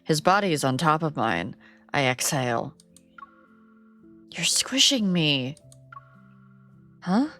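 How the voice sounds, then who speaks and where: A woman's voice: low voice, big pitch swings, takes it slowly, long pauses, pushed and bright, soft background music. A woman streamer reading a game aloud and reacting with playful, giggly commentary.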